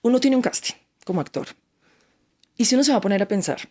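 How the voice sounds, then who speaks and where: A Colombian woman who talks at a brisk clip, her words tumbling out quickly. She chats casually as an interview guest, full of animation, and slips into quoted voices as she tells her stories. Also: fairly high pitch, mellow. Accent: Colombian Spanish. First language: Spanish. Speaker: actress, guest.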